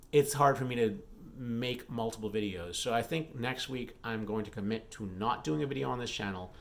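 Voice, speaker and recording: A man speaks in a casual vlog style at an average pitch. He rambles candidly, like a creator updating regular viewers. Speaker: YouTube vlogger and author.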